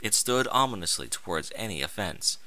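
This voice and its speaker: Man, story narrator, lowish voice, swooping intonation, theatrical delivery, very dry close sound. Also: big pitch swings, fairly quick, bright, forceful tone, dry recording. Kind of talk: dramatic scripted story narration.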